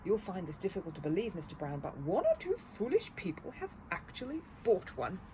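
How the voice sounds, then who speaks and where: A woman puts on a kindly older male antique dealer from a storybook. He speaks with courteous concern and mild reproof, in an animated voice over audible noise. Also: medium pitch, a little fast. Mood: gently cautioning. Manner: solicitous, mildly reproving, courteous.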